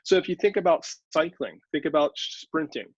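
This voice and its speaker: A man's voice: a little high, normal speed, husky, dry recording. A man answering interview questions as an expert, explaining things patiently, step by step with examples. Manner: teacherly, walks through examples step by step. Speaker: sports scientist guest. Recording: dry, slightly dull audio.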